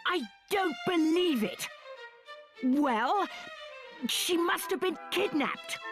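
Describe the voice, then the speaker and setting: A man's voice: very high voice, animated, slow, full character voice. A man voicing a spoiled, pompous young prince in a video game. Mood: accusing outrage. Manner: pompous, whining. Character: spoiled, petulant young prince, haughty and accusing. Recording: music under the dialogue.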